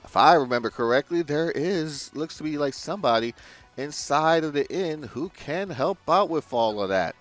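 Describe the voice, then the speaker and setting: A laid-back man talks through his gameplay, with crude asides and a lively, bouncing pitch. Soft game music plays underneath his voice. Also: moderate pace. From Puerto Rico. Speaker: gaming video host. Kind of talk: casual let's-play commentary.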